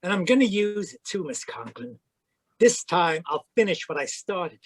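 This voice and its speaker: A man's voice: sits high, very animated, normal speed, breathy edge, exaggerated voice. An actor playing a cold, scheming male murderer with a gun in an old-time radio drama, curt and threatening. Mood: menacing. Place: remote online performance from a close mic.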